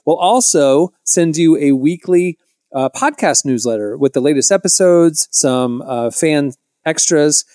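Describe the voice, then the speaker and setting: A man hosting a podcast, moving from casual chat to brisk, upbeat promo reads. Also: a little high, a little mellow. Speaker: podcast host.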